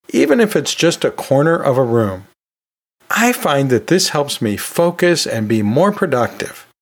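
A man hosting a podcast, talking through teaching tips in a conversational, emphatic way with self-deprecating humor. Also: on the high side. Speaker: podcast host, educator.

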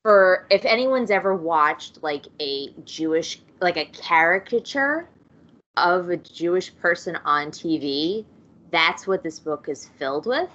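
A woman with a lowish voice talks in a rambling, casual way, full of fillers and side remarks. The recording sounds dull and lo-fi. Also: lively intonation, unhurried, a bit bright. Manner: rambling, lots of fillers and asides. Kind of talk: casual chat.

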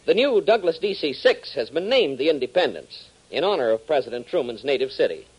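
A man's voice: fairly high pitch, big pitch swings, fairly quick, breathy edge, low-quality recording. An American man announcing and narrating a 1940s radio serial in a dramatic, emphatic scripted style. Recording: old 1940s radio transcription.

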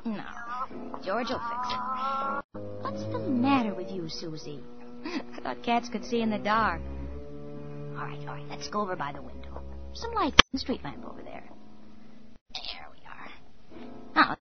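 Woman playing an anxious young actress, very expressive. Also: medium pitch, moderate pace, pauses often, natural voice. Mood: nervous. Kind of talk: 1940s radio acting. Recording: dull 1940s radio recording, loud music.

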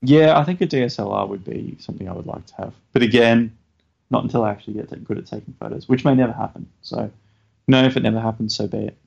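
A man co-hosting a casual podcast, talking hesitantly with many fillers and a self-deprecating manner, feeling low on energy. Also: fast talker, gravelly, a little mellow, husky. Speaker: podcast co-host. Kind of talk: casual chat. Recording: dull lo-fi sound.

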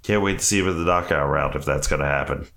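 A man's voice: rumbling low, quick pace, gravelly, a bit bright. A man co-hosting a podcast, bantering casually in a joking, very informal way and swearing freely. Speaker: podcast co-host.

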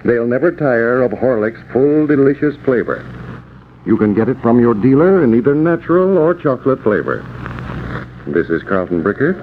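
A man announces in scripted 1930s American radio style, with lively, swinging intonation. The recording is muffled and carries light noise. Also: mid-range voice, normal speed.

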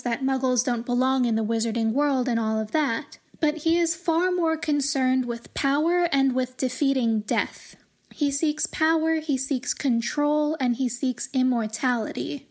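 A woman hosting a podcast, giving measured, articulate commentary on a fantasy book series in a scripted, explanatory style. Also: sits high, a little mellow. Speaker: podcast host.

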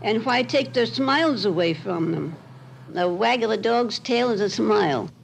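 A woman's voice: mid-range voice, animated, normal speed, vocal fry. A woman interviewee giving a firm, earnest opinion. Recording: faintly noisy 1950s location tape.

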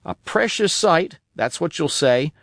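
Man voicing an old marooned sailor, eager, cunning, rustic dialect, high bouncy voice, dry sound. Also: lively intonation, unhurried, gravelly, a bit bright, no echo, exaggerated voice. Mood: insistent. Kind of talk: audiobook character voice.

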